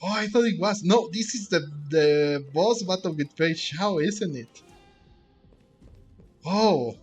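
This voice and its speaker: A man with a fairly high voice narrates his video game play casually. He speaks slowly and haltingly, thinking out loud, with faint music behind him. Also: lively intonation. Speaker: game streamer. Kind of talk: casual gameplay commentary, reading game text aloud.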